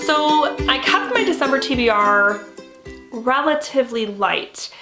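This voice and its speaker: A woman's voice: high-pitched, a little slow, echoey room, over music. Nasal young woman with a cold. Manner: chatty, rambling with fillers. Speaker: BookTube host. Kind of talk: casual reading-plans chat.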